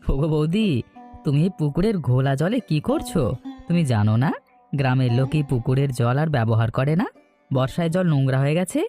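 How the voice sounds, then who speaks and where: A man's voice: mid-range voice, lively intonation, fairly quick, mellow, faint music behind, lightly acted. A man voicing a cheerful, chatty village rumour-bearer in a cartoon folk tale. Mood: chatty, informative. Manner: sing-song, friendly, colloquial.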